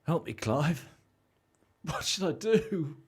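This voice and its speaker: A man's voice: fairly high pitch, sing-song, slow pace, slightly rough, full character voice. A man acting in an amateur radio play as a desperate, self-pitying man, pleading dramatically. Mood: despairing. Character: Gareth, desperate, self-pitying man, broke after a false lottery win.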